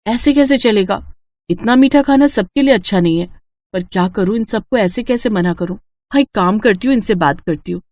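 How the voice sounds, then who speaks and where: A woman's voice: mid-range voice, normal speed, a little mellow, dry recording, studio quality, lightly acted. A woman voicing a worried, caring young daughter-in-law in a moral story. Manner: concerned, earnest.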